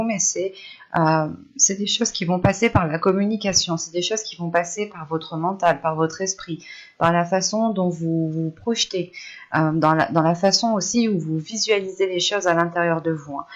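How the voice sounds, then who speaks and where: A woman's voice: fairly low pitch, moderate pace, lo-fi sound. A woman giving an improvised tarot reading, searching for her words and often repeating phrases as she goes. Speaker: tarot reader.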